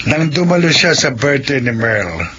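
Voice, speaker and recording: A scheming male aswang in a Filipino horror radio play. He is sly and boastful, possessive in temper. His mid-pitched voice comes through a dull recording with clear background noise. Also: sing-song, moderate pace, bright, forceful tone. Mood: gloating, possessive.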